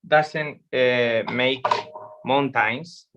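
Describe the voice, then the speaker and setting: Slow, halting painting teacher. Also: male voice, mid-range voice, steady tone, noisy background. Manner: halting non-native English with many pauses. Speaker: watercolor painting instructor. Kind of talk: live online painting demonstration. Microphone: video call. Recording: slightly dull lo-fi stream audio.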